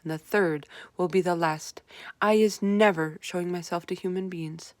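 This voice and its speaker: A woman's voice: fairly low pitch, steady tone, moderate pace, dry recording, lightly acted. A woman voicing a stubborn, kindly male giant from a children's storybook, folksy and ungrammatical with mangled words. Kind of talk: children's storybook read-aloud.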